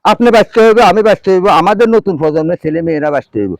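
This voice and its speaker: A man's voice: high voice, animated, rapid-fire, creaky, dry recording. A middle-aged man, giving an earnest, emphatic and pleading advisory talk to viewers as a farmer, repeating key phrases. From Bangladesh. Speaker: farmer and farming video presenter.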